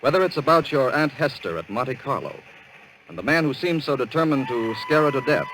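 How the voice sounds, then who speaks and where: An easygoing, tactful gentleman in a vintage radio thriller. His medium-pitched voice is polite and courteous, with faint noise on the old recording. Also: expressive, fairly quick, poor audio. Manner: polite, smooth-mannered. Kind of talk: 1940s radio acting.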